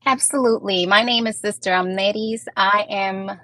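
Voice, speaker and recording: A woman from Puerto Rico, a guest on a podcast, answering questions in a measured, explanatory way. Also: mid-range voice, steady tone, bright, forceful tone, no echo, clean studio sound. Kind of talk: conversational interview answers.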